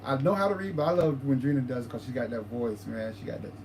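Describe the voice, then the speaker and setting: A man co-hosting a paranormal livestream, talking casually, halting and repeating words as he explains his ideas. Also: mid-range voice, animated, background noise.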